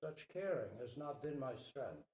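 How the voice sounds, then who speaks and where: A man playing a proud, regal human king in a storybook parable, declamatory and drawn-out, humbled by what he hears. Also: medium pitch, lively intonation, a little mellow, far from the mic. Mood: humbled, grand. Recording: roomy, echoing live recording.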